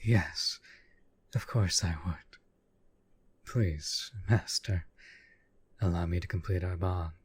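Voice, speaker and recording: A man playing a devoted, lovestruck demon bound to a summoner, husky and breathy, speaking in formal, courtly phrases in an intimate audio roleplay. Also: deep voice, slow, long pauses, heavily acted. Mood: eager, pleading.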